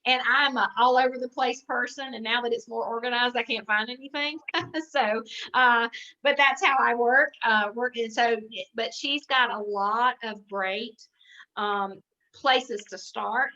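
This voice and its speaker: A woman with a rather high, forceful and bright voice leads an informal sales training over a conference call. She rambles in a chatty way and thinks aloud as she goes. The audio is slightly dull and lo-fi. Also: steady tone, measured pace. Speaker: sales director training consultants. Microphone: conference call line.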